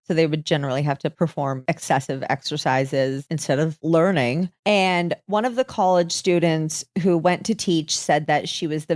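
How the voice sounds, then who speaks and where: Lower-pitched woman, dry podcast storytelling. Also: a little mellow, no echo. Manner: informal, wry, swears casually. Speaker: podcast co-host. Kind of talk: casual true-crime podcast storytelling from research notes.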